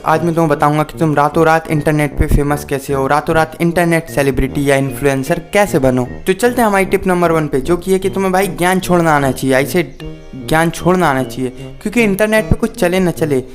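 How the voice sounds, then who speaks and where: Higher-pitched young Indian man over music. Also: a little fast. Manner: mock-earnest, emphatic, switches between Hindi and English. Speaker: video host. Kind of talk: sarcastic scripted comedy monologue to camera.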